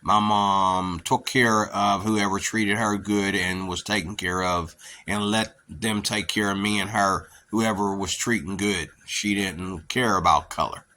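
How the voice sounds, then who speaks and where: A man's voice: on the low side, steady tone, a little slow, hardly any pauses, sharp tone. A man from near Pittsburgh with a Pittsburgh accent, rambling and repeating himself emphatically in a casual monologue. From near Pittsburgh, USA. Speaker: vlogger.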